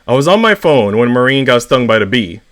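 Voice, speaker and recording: Man reading stories aloud, expressive. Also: mid-range voice, a little fast. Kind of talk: expressive story read-aloud.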